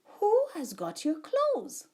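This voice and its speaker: A woman's voice: very high voice, huge pitch swings, slow pace, darkish tone, pitched above the performer's own voice, full character voice. A woman reading a storybook, voicing a confident, bossy little boy rabbit who talks in an instructive, matter-of-fact way. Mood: curious.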